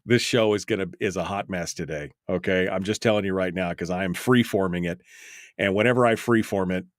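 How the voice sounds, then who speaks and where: A man's voice: low-pitched, fairly quick, dry recording. A man hosting a freeform talk radio call-in show, rambling in an emphatic, self-mocking way on a close studio microphone.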